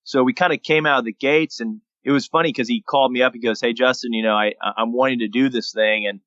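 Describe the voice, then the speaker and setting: A man in his thirties from Texas, a guest telling his story in a relaxed, rambling way on a podcast. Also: medium pitch, fast talker, no echo. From San Antonio, Texas, USA. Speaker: guest, daily vlogger and entrepreneur. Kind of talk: casual podcast interview. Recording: dull lo-fi dry sound.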